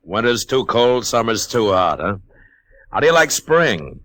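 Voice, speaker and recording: An actor playing a watchful, world-weary American frontier marshal, measured and dry, in an old-time radio western. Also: male voice, mid-range voice, sing-song, sharp tone. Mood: dryly amused.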